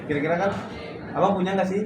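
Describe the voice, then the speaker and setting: A man's voice: sits high, fast, recorded from a distance, very noisy. Young man asking questions in an echoey room. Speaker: podcast guest. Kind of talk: casual chat.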